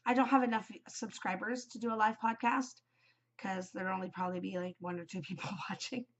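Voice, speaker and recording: A woman's voice: mid-range voice, flat delivery, fairly quick. A woman hosting a craft podcast, chatting casually with lots of ums, her voice stuffed up and worn from a cold. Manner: rambling, many ums, chatty. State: congested, voice shot from a cold. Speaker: knitting podcast host. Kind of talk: casual solo chat.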